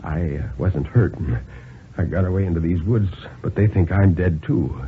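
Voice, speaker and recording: A man's voice: low voice, phone-quality sound, slight background noise, pitched below the performer's own voice, lightly acted. A man playing an exhausted, desperate, well-spoken banker who halts and pleads, in a 1950s radio reading. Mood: weary, faltering. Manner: halting, faltering, pleading.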